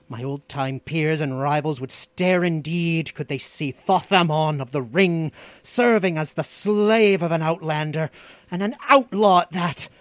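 A man's voice: high-pitched, no echo, voice pushed up. A man voicing a proud, bitter, enslaved sorcerer in a fantasy audiobook, growling and grandiloquent. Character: bitter, enslaved male Stygian sorcerer, broad-shouldered, proud, menacing. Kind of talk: dramatic fantasy audiobook dialogue.

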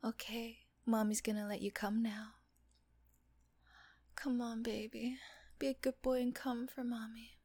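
A woman voicing a teasing, doting female nurse in an intimate audio roleplay, talking softly and often in a whisper. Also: a little high, little pitch movement, measured pace, long pauses. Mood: teasing, coaxing.

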